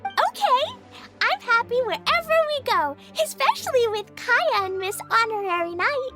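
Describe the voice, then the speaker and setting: A bubbly, eager little girl bounces through her game lines. Her voice sits very high and swoops all over. Soft game music plays behind her. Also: very animated, normal speed. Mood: excited. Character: cheerful excitable little girl knight. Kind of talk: video game voice acting.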